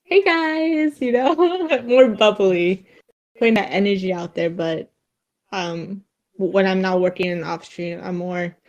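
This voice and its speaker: A woman with a slight Vietnamese accent, chatting casually on a podcast. She stops and restarts her sentences often and laughs between her thoughts. Her pitch sits in the middle of the usual range. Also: a little mellow, muffled recording. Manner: halting, many restarts and fillers, giggly. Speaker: streamer and podcast guest. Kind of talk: casual chat.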